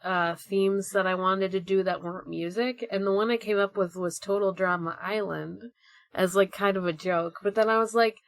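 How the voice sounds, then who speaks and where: A woman's voice: mid-range voice, steady tone, moderate pace, no echo, clean studio sound. A woman podcast co-host bantering casually with friends in a giggly, rambling way. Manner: giggly, frequently swearing, rambling.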